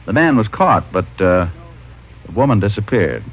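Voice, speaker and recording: An actor playing a breezy, wry private detective who both talks and narrates in a 1950s radio mystery. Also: male voice, on the low side, big pitch swings, normal speed. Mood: matter-of-fact. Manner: wry, casual, hesitating with uh. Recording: muffled old radio recording with music.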